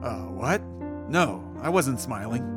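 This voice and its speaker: Cool young bachelor, medium pitch, matter of fact, audio drama, music under. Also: male voice, sing-song, slow pace. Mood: quieter, reassuring. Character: cool, pragmatic bachelor, guarded but protective.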